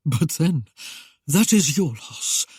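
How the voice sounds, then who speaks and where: Male narrator doing a cold, aloof lord, curt and measured, slightly higher voice, dry close sound. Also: animated, a little mellow, husky, no echo, heavily acted. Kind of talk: audiobook character voice.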